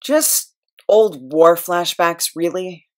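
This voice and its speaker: A woman's voice: lowish voice, expressive, takes it slowly, darkish tone. A woman playing a nervous, hesitant, war-haunted immortal in an audio comedy drama. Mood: gloomy, startled. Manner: hesitant, stammering, anxious.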